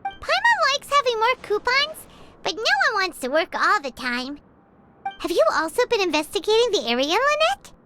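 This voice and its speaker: Teeny squeaky fairy sidekick girl from a game, super high and all over the place, excitable, chatty. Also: moderate pace, very noisy, heavily acted. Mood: friendly. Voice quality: squeaky, childlike. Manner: excitable, refers to self in third person. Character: tiny childlike female floating fairy companion, chatty, indignant. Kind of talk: video game voice acting. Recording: background music throughout.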